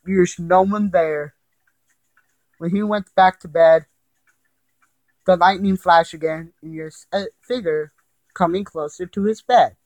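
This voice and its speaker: A man with a slightly high voice tells a creepy story in an informal, amateur way. He goes slowly and haltingly, rambling and circling back over the same phrases. The sound is close and dry. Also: no echo, rough recording. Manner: halting, rambling, repetitive delivery. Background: ticking and chiming clock.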